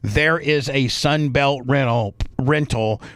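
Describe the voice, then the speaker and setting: An American man hosting a radio show, delivering a long, unscripted sponsor pitch with repetition and emphatic lists. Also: slow pace, fairly bright tone. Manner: rambling, repetitive, emphatic listing.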